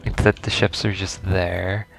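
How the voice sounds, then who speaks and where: A man's voice: sits low, flat delivery, a little slow, vocal fry, soft background music, low-quality recording. A man giving casual first-reaction commentary on a video game, rambling and thinking aloud as he goes. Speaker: gaming video host.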